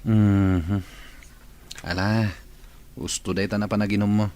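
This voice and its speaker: An actor with a Filipino accent playing an inquisitive, supportive drinking buddy in a radio drama. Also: male voice, lowish voice, little pitch movement, takes it slowly, mellow. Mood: curious, encouraging.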